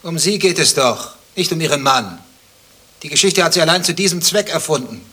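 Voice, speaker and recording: A man's voice: a little high, animated, fairly quick, pushed and bright, breathy edge, heavily acted. An actor playing a sardonic, probing male skeptic in a radio play, questioning with dry sarcasm. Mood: insistent, accusing. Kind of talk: German radio play dialogue acting.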